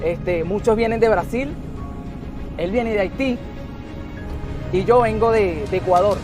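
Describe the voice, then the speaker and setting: Earnest high-voiced young Venezuelan man. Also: measured pace, over music. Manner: earnest, heartfelt plea. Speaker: young voter interviewed.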